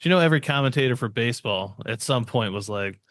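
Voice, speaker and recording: A male co-host with a medium-pitched voice trades casual banter. His manner is joking and teasing, and the sound is dry and close. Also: animated, quick pace, fairly bright tone, dry recording.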